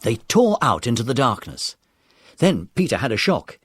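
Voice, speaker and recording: A man narrating a children's adventure story in a measured, dramatic storytelling manner. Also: mid-range voice, wildly swooping pitch, slightly rough.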